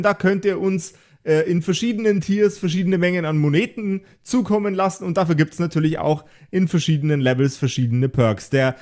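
Slightly high-voiced man narrating as game master. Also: darkish tone. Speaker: game master and podcast host. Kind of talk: narrating an improvised tabletop audio drama.

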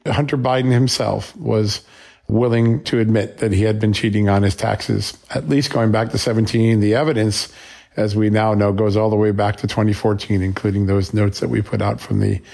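A man's voice: lowish voice, steady tone, moderate pace, gravelly, a little mellow. A man hosting a political news commentary show, sounding slightly scratchy from a flu and making his points emphatically with short punchy questions.

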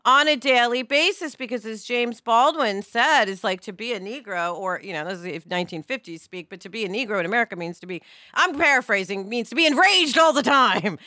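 Bright-voiced woman podcast host, candid. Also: fairly high pitch, no room sound, studio quality. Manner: candid, digressive, self-questioning. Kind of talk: casual reflective podcast discussion.

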